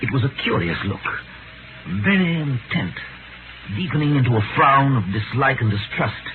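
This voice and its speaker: A man narrating a 1930s radio drama in a grave, measured storytelling manner. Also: medium pitch, lively intonation, close to an everyday voice. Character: grave, watchful male narrator of courtroom tale. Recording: noisy, tinny 1930s radio broadcast.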